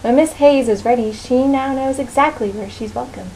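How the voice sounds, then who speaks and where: A woman's voice: high voice, moderate pace, a little mellow. A woman voicing a poised, scolding telepath mentor from a comic, formal and condescending, on a noisy amateur recording. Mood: composed.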